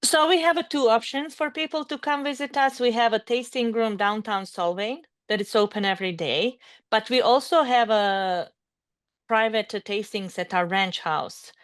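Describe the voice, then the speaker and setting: A woman from Bosnia with a Bosnian accent, a winemaker guest speaking friendly, slightly halting English on a video call. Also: a little high, no echo.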